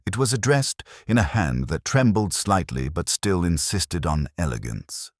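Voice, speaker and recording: A man reading a scripted first-person literary detective story in a measured, melancholy, reflective manner. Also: low voice, very animated, no room sound, clean studio sound.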